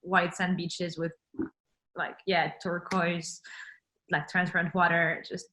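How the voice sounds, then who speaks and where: Bright-voiced woman chatting with many fillers. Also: fairly low pitch, little pitch movement, slow, slight background noise, low-quality recording. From France. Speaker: interview guest. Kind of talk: casual chat.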